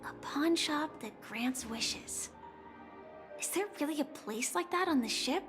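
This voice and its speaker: High-voiced curious young stowaway, slow and wondering, game score behind. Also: female voice, lively intonation. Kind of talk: video game voice acting. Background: game music.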